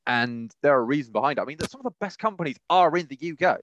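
A man's voice: on the high side, animated, fast talker, fairly bright tone, no echo, lo-fi sound. A young British man chatting casually as a podcast co-host, rambling and often circling back mid-sentence. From United Kingdom.

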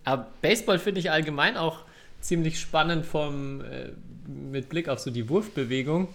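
Higher-voiced man, casual podcast host. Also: normal speed, gravelly, a bit bright. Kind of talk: casual chat on a badminton podcast.

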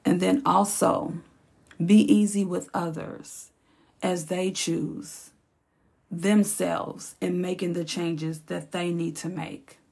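A woman hosting her own podcast, speaking in a slow, encouraging, reflective way, with a raspy voice and features. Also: lowish voice, steady tone, darkish tone. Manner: slow, encouraging, repeats phrases for emphasis. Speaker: podcast host. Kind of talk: reflective solo podcast talk.

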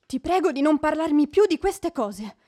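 A woman's voice: high voice, animated, normal speed, mellow. A woman acting in a radio drama as an inconsolable, proud young widow, theatrical and declamatory. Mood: solemn, wounded. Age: young adult. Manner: declamatory, mournful.

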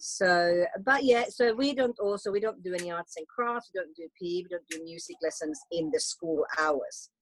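A homeschooling mother from Finland with a Finland-Swedish accent chats freely, often stumbling and restarting. Her voice sits at a middle pitch. The sound is dry and close, with no room echo. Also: animated, normal speed, few pauses. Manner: chatty, with frequent restarts. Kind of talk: casual conversation.

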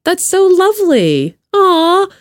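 Expressive woman with very lively intonation. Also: very high voice, slow pace, no echo, clean studio sound. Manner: gushing, expressive. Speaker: podcast host. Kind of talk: casual chat.

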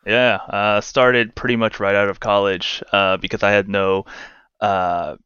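A man's voice: fairly low pitch, little pitch movement, a little slow, pushed and bright, smooth, no echo, muffled recording. A man around thirty, a guest in a casual interview, who talks in a wry, rambling way and fills his speech with "uh" and "like.". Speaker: guest, games news editor.

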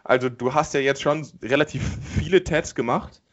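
Young male host, mid-pitched, loose slangy talk, muffled sound. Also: normal speed. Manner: street slang, easygoing. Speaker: podcast host. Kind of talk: casual chat.

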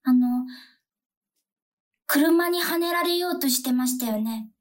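A woman's voice: high voice, a little slow. A woman playing a determined, big-hearted female taxi driver with a Kyoto accent, emphatic and theatrical in a comic radio drama. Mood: hesitant, concerned. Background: background music.

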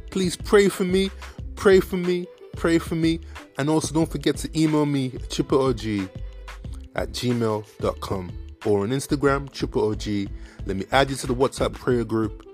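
An earnest young man talks straight to his listeners about faith, repeating himself for emphasis. He leans on casual fillers as he encourages and preaches. Faint music plays quietly under his voice. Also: mid-range voice. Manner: heavy fillers like "like" and "you know what I mean". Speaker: podcast host. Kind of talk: casual faith talk to viewers.

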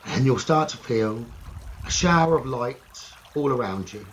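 A man leading a guided meditation slowly and soothingly, in short phrases with long pauses. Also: mid-range voice, lively intonation, poor audio. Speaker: meditation teacher. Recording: running stream water noise behind voice. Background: trickling stream water ambience.

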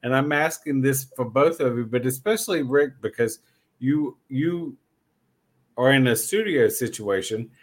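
A man with a mid-pitched voice hosts a casual podcast interview. He talks in an effusive, playful way as he questions and responds to his guests. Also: a little slow.

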